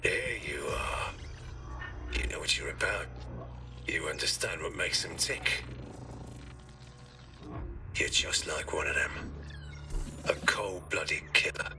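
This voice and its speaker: An actor playing a ruthless, scheming research boss, clipped and theatrical in a dramatic video game cutscene. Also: male voice, low-pitched, wildly swooping pitch, slow pace, long pauses, music in the background, heavily acted. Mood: cold menace.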